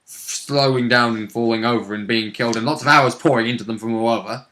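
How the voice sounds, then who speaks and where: A man's voice: medium pitch, fast talker, poor audio. A man casually recounting a tabletop game session as a player, sweary and given to acting out moments. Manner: sweary, animated reenacting of moments. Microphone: recorded conversation for a vidcast.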